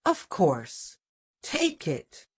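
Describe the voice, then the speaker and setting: A woman voicing a kind, trusting young bride in a folktale, gentle and easygoing. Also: lowish voice, huge pitch swings, very slow, slightly rough, heavily acted. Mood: relaxed, casual.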